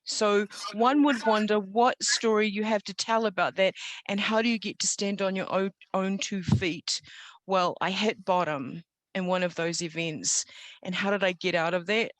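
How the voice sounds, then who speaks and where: A middle-aged woman with a New Zealand accent, sharing personal motivational stories in an earnest, reflective and deliberate way on an online call. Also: mid-range voice, steady tone, no room sound. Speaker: guest storyteller. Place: online video gathering. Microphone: video call.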